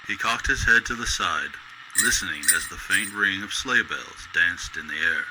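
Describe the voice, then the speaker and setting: Male narrator, very low pitch, measured storybook delivery, old-time radio drama style, noisy ambient effects behind.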